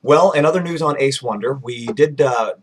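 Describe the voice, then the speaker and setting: A man's voice: mid-range voice, moderate pace, husky. A man in his twenties chattily hosting a casual interview and promotional video blog, wordy and polite. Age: 20s. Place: furnished room at home, indoors.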